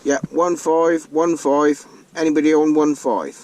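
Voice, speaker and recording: A man's voice: on the high side, little pitch movement, a little slow. A man giving a casual hands-on demonstration to viewers in a hesitant, rambling, informal way. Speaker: vlogger demonstrating a CB radio. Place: inside a car. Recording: noisy car interior, radio hiss. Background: loud radio hiss and static.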